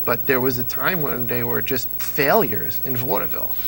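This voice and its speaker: A man being interviewed as a fan of old-time radio, telling lively anecdotes in a relaxed conversational way. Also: mid-range voice, moderate pace, vocal fry, a little hiss or hum, poor audio. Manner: animated storyteller, mimics voices in anecdotes. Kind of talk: casual anecdotal chat.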